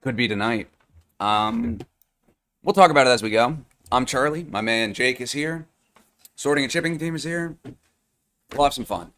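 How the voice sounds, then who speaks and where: A young adult man with a hoarse, fading voice, hosting a live card-opening stream in a chatty, upbeat way with lots of fillers. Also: mid-range voice, animated, moderate pace, long pauses, a bit bright, slight background noise. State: hoarse, losing his voice. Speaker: live card-break host. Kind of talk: livestream sales chat.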